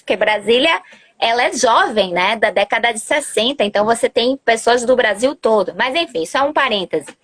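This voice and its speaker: A woman's voice: on the high side, a bit bright. A woman language teacher explaining at length in a casual live-stream chat over a video call, talkative and correcting herself as she goes. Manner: chatty, explanatory, with self-corrections.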